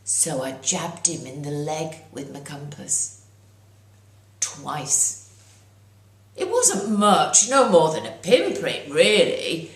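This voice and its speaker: A woman reading her own children's story aloud as its author, in an expressive narrating style. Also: sits low, takes it slowly, pauses often. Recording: roomy echo.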